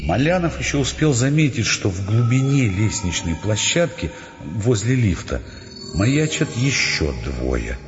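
A man narrating a literary audio play in an expressive, scripted storytelling style, recorded with reverb and music underneath. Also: lowish voice, a little slow, highs cut off.

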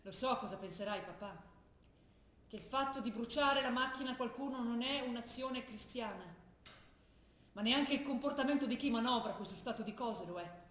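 A woman acting a young revolutionary who writes earnest letters to her father, speaking intensely and sometimes dropping to a hush, in a roomy stage recording. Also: fairly high pitch, normal speed, a little hiss or hum, lightly acted. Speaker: stage actor. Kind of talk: theatre drama broadcast on radio.

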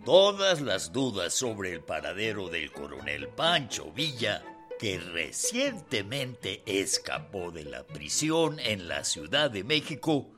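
A revolutionary's teasing companion. The pitch swings about a lot at an unhurried pace, in radio drama style over faint music. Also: male voice, pushed and bright, heavily acted. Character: amused male companion of a revolutionary, reading newspaper aloud.

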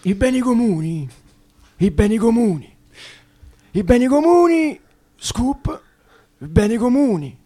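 A man's voice: extremely high pitch, sing-song, dark tone, heavily acted. A man playing a bumbling male professor who mumbles and repeats one phrase over and over. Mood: droning. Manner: mumbling, repetitive, slow. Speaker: guest expert in a comic bit.

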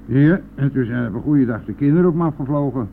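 A man's voice: mid-range voice, fast, gravelly, lightly acted. Tinny radio-play recording, garrulous coarse bus driver acted by a man. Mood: rambling, self-pitying. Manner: garrulous, jovial, needling, colloquial. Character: chatty, coarse, self-pitying hard-drinking male bus driver. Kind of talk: radio drama monologue. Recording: tinny, band-limited, noisy old radio-play recording.

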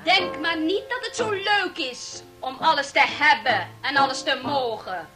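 A woman's voice: high-pitched, lively intonation, unhurried. An actress playing a spoilt, discontented teenage girl in a 1960s Dutch radio play. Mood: wistful. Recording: 1960s radio recording with background music.

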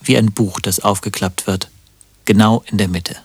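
Low-voiced wry, melancholic musician. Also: male voice, steady tone, moderate pace, breathy edge, no echo. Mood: wistful irony. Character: wry, melancholic male musician, mockingly lyrical. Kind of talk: satirical radio play dialogue.

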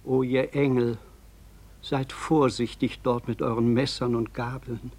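A gentle old village pastor. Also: male voice, medium pitch, little pitch movement, unhurried. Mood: mournful. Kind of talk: radio play acting.

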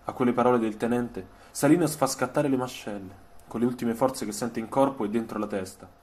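A man narrating a scripted dramatic radio story, solemn and measured, with deliberate, literary phrasing. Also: medium pitch, darkish tone. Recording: music behind the voice. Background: music soundtrack.

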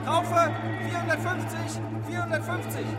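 Frantic high-pitched stock trader. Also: male voice, level tone, normal speed. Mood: urgent. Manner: urgent calling out. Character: frantic, shouting male stock exchange trader. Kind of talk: radio drama crowd scene. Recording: loud crowd and music behind.

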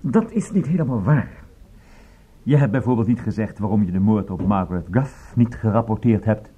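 An actor playing an affable, apologetic colleague in a Dutch radio drama, hesitant and placating in manner. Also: male voice, fairly low pitch, lively intonation, normal speed, husky. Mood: placating, official. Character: affable, apologetic male colleague bringing awkward official news. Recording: dull, muffled radio recording.

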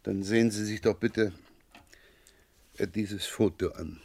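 An actor playing a polite but persistent, dryly sceptical police inspector in a German crime radio play, unhurried and given to hesitant repetitions. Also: male voice, fairly low pitch, fairly even intonation, vocal fry, darkish tone. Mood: politely probing.

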